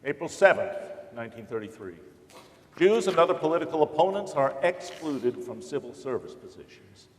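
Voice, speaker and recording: A man acting as a grave narrator in a staged documentary reading, speaking deliberately and declaiming each phrase. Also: on the high side, big pitch swings. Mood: solemn. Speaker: stage reader in a dramatic reading. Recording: echoey hall with long reverb.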